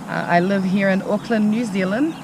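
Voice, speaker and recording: A young man with a high voice speaks earnestly, pausing often with ums. He is recorded outdoors in a city, with clear traffic and street noise behind him. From Australia. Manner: earnest, hesitant, many ums. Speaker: interviewee. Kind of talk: casual personal account.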